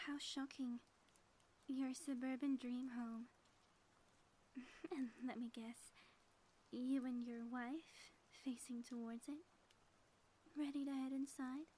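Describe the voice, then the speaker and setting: A woman playing a sly, theatrical supervillain inventor in an ASMR audio roleplay, speaking softly with pompous, taunting phrasing and often dropping toward a whisper. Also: sits high, breathy edge, heavily acted. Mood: mocking. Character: gloating female supervillain inventor, sly, theatrical, secretly smitten.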